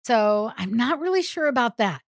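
A woman's voice: on the high side, animated, moderate pace, dry recording, studio quality. A woman co-hosting a podcast, chatting casually about television shows. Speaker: podcast co-host. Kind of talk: casual TV recap chat.

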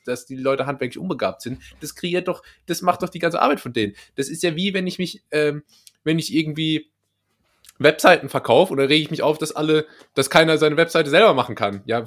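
A man's voice: fairly high pitch, animated, fast, fairly bright tone. A young man from the Palatinate with a Palatinate accent, chatting loosely and jokingly as a podcast co-host. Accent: Palatinate German. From Palatinate, Germany. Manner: loose, joking, trails off and restarts. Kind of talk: casual banter. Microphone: laptop setup.

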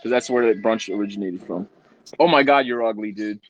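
A man's voice: lowish voice, a little fast, muffled recording, faint music behind. A young man hosting a casual podcast chat, rambling and swearing, often stumbling and repeating words. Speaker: podcast host. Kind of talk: casual group chat on a video call.

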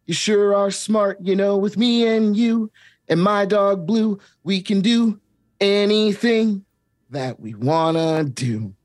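A man's voice: high voice, slow pace, no room sound, clean studio sound. An actor playing an upbeat young male kids' TV host in a comedic table read, singsong and talking straight to the viewer. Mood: cheery.

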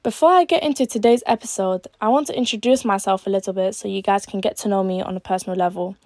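A teenage woman reads her solo podcast script in an earnest, measured way. Her voice sits at a middling pitch and often drops softer at the ends of lines. The sound is dry and close, with no room around it. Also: no echo. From born in Algeria, raised in East London. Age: late teens. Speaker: podcast host.

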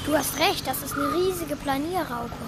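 An eager, naive young adventurer played by a schoolchild in a children's radio play. The voice is high and earnest, over clearly noisy sound. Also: moderate pace. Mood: concerned.

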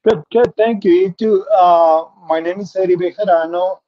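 A man's voice: high-pitched, steady tone, normal speed. A middle-aged man from Peru with a Peruvian Spanish accent, a native Spanish speaker, earnestly telling his life story as an interview guest, often restarting and repeating words. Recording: muffled lo-fi audio.

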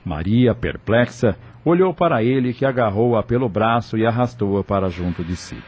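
A man's voice: fairly low pitch, expressive, moderate pace, darkish tone, a little hiss or hum. A man with a Brazilian accent reading scripted narration for a radio soap.

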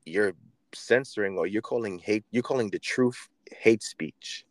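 A man co-hosting a podcast who argues his points earnestly, often pausing and restarting his sentences. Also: on the low side, dry recording. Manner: earnest, argumentative, hesitant restarts. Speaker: podcast co-host. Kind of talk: casual podcast chat.

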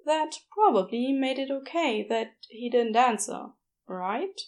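A woman reading a story aloud as an audiobook narrator, in expressive scripted narration. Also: a little high, takes it slowly. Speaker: audiobook narrator of fan fiction.

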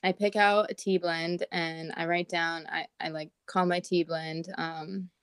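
A woman with a raspy morning voice chatting casually as a podcast co-host, talking with lots of "like" and "um". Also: lowish voice, level tone, unhurried, no echo. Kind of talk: casual chat. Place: at home in Portland.

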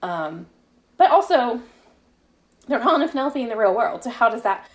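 A woman's voice: fairly high pitch, very animated, normal speed. Woman author chatting reflectively in an interview. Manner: reflective, explains at length with frequent "you know" and "like". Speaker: fan fiction author, interview guest. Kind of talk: casual interview conversation.

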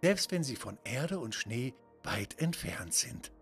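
A man voicing a wise, mysterious old woman in a children's audio story, speaking slowly and gently. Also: medium pitch, big pitch swings, faint music behind. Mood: wise, gentle.

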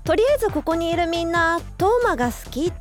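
A woman playing a gentle, conciliatory young woman with a soothing, casual manner in a radio drama. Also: extremely high pitch, expressive, fast talker, faint music behind. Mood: friendly.

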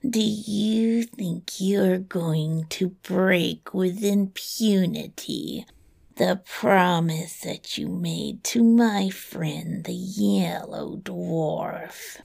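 A woman voicing a vengeful, ugly, ancient fairy who threatens in slow, drawn-out, half-whispered words in a storybook reading. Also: lowish voice, creaky, darkish tone, husky, exaggerated voice. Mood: menacing.

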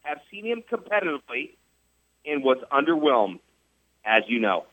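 A man's voice: a little high, sing-song, moderate pace, no echo. A man hosting sports radio talk, emphatic and repetitive, firing off rhetorical questions. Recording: dull radio studio sound, dry.

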